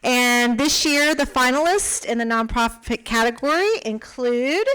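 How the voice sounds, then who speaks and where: A woman emceeing an awards ceremony, announcing the finalists' names and titles clearly. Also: on the high side, measured pace, a bit bright. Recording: crowd chatter and noise in event hall.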